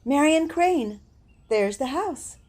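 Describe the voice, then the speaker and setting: An actress playing Bee, a vengeful woman who stings rude people, in a radio drama with a slow, measured delivery. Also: sits high, expressive, darkish tone. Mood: cold.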